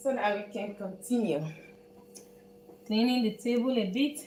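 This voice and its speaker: A Dutch woman with a Dutch accent hosts a live cooking lesson at a very slow, unhurried pace, her voice sitting a touch high for a woman. She talks in halting bits and repeats words while she works. The home kitchen sounds roomy and echoey under a faint hiss. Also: mellow. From Netherlands. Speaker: home cook host.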